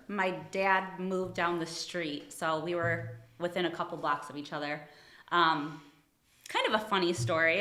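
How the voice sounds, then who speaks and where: A woman's voice: fairly low pitch, pushed and bright, reverberant. A woman from Ohio working as an attorney, chatting casually in an interview, friendly and modest, laughing often. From Toledo, Ohio. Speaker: attorney, guest.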